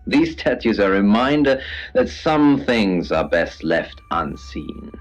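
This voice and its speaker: An actor playing an eerie, theatrical male host of a spooky late-night radio show, speaking deliberately. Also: fairly low pitch, sing-song. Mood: ominous storytelling. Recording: dull lo-fi radio sound over music. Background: background music.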